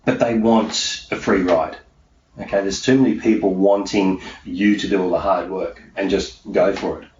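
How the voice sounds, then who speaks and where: A man's voice: sits low, fairly even intonation, moderate pace, a little mellow. A middle-aged man giving emphatic, conversational interview answers as a coach, often repeating himself to drive points home. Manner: emphatic, repetitive, punctuates points with "okay". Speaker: interviewee, self-employed mindset coach. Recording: roomy, distant, muffled, faint background noise.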